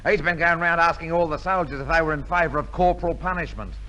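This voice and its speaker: A man's voice: on the high side, quick pace, dull audio, natural voice. A man playing an eager, cheeky young army private in a 1950s radio comedy. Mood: eager, brisk. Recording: noisy vintage 1950s radio recording.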